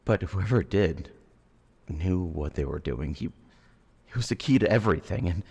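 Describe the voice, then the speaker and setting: Very deep gravelly, menacing veteran. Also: male voice, animated, a little mellow, heavily acted. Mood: coldly controlled. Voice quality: gravelly, raspy. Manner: slow, halting, brooding, theatrical delivery. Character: menacing, traumatized male war veteran turned killer suspect. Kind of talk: dramatic audio drama acting. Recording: long cavernous reverb.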